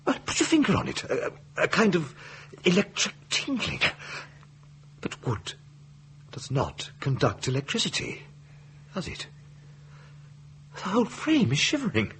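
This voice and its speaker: A genial publisher chap in a spooky radio drama, stammery and flustered, pitch all over the place, slight hum. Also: male voice, huge pitch swings, unhurried, long pauses, fairly bright tone, exaggerated voice. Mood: flustered, uneasy. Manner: stammering, urbane, exclamatory. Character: genial, flustered publisher, puzzled and eager to reason. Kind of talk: period ghost-story radio drama acting.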